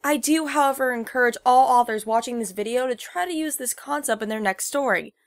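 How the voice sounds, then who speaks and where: Woman, scripted commentary, articulate formal phrasing, slightly high pitch, dry echo-free sound. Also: fairly quick. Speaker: guest commentator.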